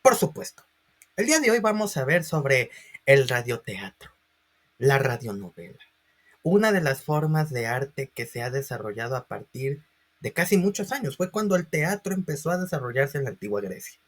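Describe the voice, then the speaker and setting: A man presenting an informal online class as a teacher, expressive and speaking directly to his listeners. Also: mid-range voice, moderate pace. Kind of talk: informal educational lesson for video.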